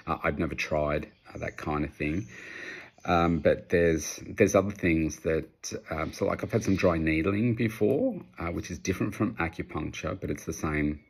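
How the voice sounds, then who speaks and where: A man's voice: low voice, normal speed, vocal fry, slightly rough. A man in his fifties with an Australian accent, giving casual, unscripted advice as a personal trainer in a rambling, conversational way. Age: 50s. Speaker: personal trainer answering audience questions.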